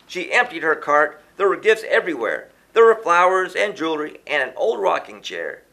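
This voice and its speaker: A man's voice: a little high, vocal fry, pushed and bright. A man reading a rhyming children's storybook aloud in a theatrical, sing-song rhythm.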